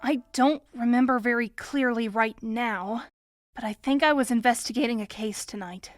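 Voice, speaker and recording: A young woman playing an excitable, earnest young detective in a video game fan dub, with expressive, peppy delivery. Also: fairly high pitch, moderate pace, faint music behind, close to an everyday voice. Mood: apologetic, pleading.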